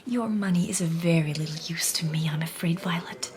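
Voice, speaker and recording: An actress playing a proud, stubborn and impoverished older sister in a radio drama, with a wistful, breathy delivery. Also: female voice, fairly low pitch, brisk, noisy background, natural voice. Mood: proud, wounded.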